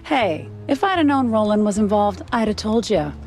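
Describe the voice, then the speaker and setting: An actress playing a savvy, teasing mouse informant in a noir video game, speaking in a wry, knowing way. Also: female voice, medium pitch, animated, normal speed, a little mellow, soft background music, close to an everyday voice.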